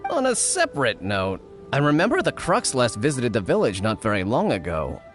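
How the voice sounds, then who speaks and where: An earnest young male villager in a video game, apologetic and a bit flustered. His mid-pitched voice swings widely as he pleads, with faint music behind. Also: wildly swooping pitch, moderate pace. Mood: relieved, grateful.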